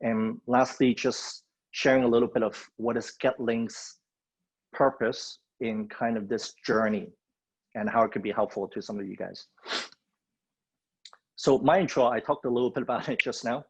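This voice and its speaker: A man's voice: mid-range voice, fairly even intonation, normal speed, husky. A man from Hong Kong giving a guest talk on a video call, speaking loosely and conversationally with many fillers. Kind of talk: webinar presentation. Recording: dull lo-fi video call audio.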